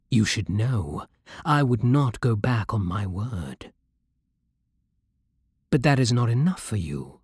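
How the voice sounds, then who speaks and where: An intimate ASMR vampire king, performed by a man, British and possessive. His voice is very breathy and soft, close and dry. He speaks with hypnotic, commanding tenderness, slowly drawing out his words. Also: fairly low pitch, no echo, heavily acted. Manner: slow, hypnotic, imperious endearments.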